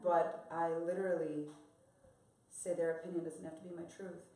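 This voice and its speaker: A woman's voice: lowish voice, flat delivery, normal speed, mellow. An American woman on a live panel, talking candidly and reflectively about her own experience. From United States. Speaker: panel guest. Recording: echoey room.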